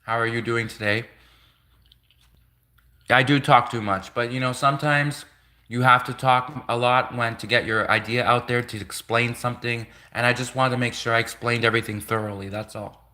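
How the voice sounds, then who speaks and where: A man's voice: medium pitch, steady tone, normal speed, pushed and bright. A man who is a native Russian speaker, talking casually on a livestream in an earnest, rambling way and repeating phrases for emphasis. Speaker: livestream host.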